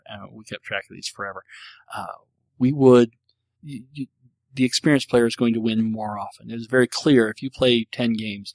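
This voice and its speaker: Man, casual solo host, self-deprecating, halting restarts, mid pitch, dry close mic. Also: no echo, rough recording. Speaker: podcast host answering listener questions.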